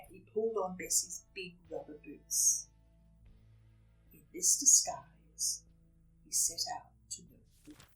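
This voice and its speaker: A woman's voice: medium pitch, distant mic, rough recording. A woman reading a children's story aloud expressively, dramatically stressing and drawing out repeated words. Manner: dramatic, with emphatic repetition and drawn-out words.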